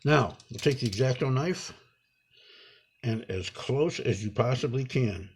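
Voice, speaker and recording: A man giving an improvised hands-on tutorial, talking unhurriedly and haltingly through each step as he works. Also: mid-range voice, lively intonation, breathy edge. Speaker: instructor demonstrating a repair.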